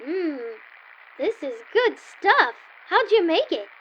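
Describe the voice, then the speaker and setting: A woman's voice: very high-pitched, big pitch swings, slow pace, muffled recording, a little hiss or hum, full character voice. A woman playing a feisty, bossy, wisecracking girl in an old-time style radio comedy sketch. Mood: brash, scheming. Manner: brash, wisecracking, teasing.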